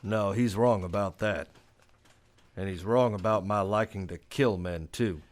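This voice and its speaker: Man acting as a low-voiced, weary Old West marshal. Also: measured pace, lightly acted. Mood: resolute, bitter. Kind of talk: radio western acting and first-person narration.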